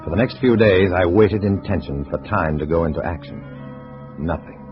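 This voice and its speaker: An actor playing a guarded, weary undercover agent who narrates in a clipped, brooding style in a 1950s radio drama. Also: male voice, low voice, moderate pace, natural voice. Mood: tense, brooding. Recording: dull vintage radio recording, loud music.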